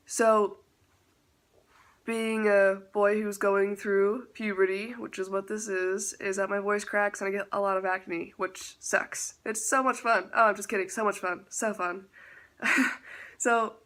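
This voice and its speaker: A man's voice: high voice, little pitch movement, measured pace, fairly bright tone. A man chatting casually in a vlog update, self-teasing and laughing often, with a stuffy nose from a slight cold. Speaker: vlogger.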